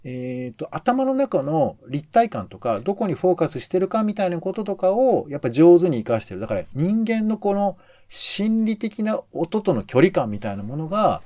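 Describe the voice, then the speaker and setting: A man's voice: high voice, sing-song, moderate pace, hardly any pauses, dark tone, no echo. A man co-hosting a podcast, giving his commentary in casual conversation. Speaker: podcast co-host.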